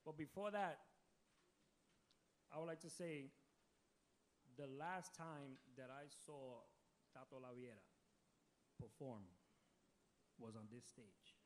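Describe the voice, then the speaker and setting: Musician speaking slowly into a stage mic. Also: male voice, medium pitch, a little hiss or hum. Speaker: musician introducing the band. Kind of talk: bilingual stage announcements. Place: concert hall stage.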